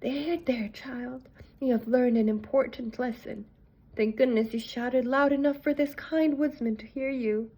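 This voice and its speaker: A woman voicing a kindly old grandmother in a storybook, gentle and soothing. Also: extremely high pitch, expressive, normal speed, few pauses, voice pushed up.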